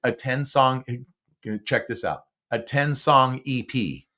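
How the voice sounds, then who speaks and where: A man's voice: mid-range voice, animated, slow pace, sharp tone. A man hosting a casual podcast, chatty and enthusiastic as a music fan. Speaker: podcast host.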